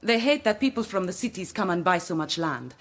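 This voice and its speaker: A woman's voice: lowish voice, lively intonation, fairly quick, fairly bright tone. A proud Italian woman, expressively melodic. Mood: indignant. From Milan, Italy. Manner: emphatic, slightly imperfect English. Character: proud, outspoken Milanese woman, disdainful of villagers. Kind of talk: radio drama acting.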